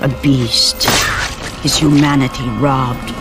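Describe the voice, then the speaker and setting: An actor playing a solemn, ominous storyteller, giving dramatic, portentous narration of a Greek myth in a video game. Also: male voice, medium pitch, level tone, over loud music, lightly acted. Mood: grim. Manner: solemn, portentous, measured phrasing. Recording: loud orchestral game score behind.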